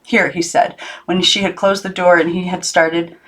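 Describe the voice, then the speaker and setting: A woman reading a children's novel aloud as an audiobook narrator, in an expressive storybook style. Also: fairly low pitch, moderate pace, poor audio. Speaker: audiobook reader.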